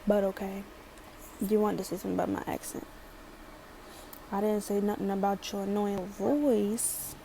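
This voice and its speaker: A woman's voice: mid-range voice, steady tone, normal speed, creaky, pauses often, warm tone, a little hiss or hum. A chatty young woman from Maryland with a light Baltimore accent, rambling sassily through a casual storytime. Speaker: vlogger.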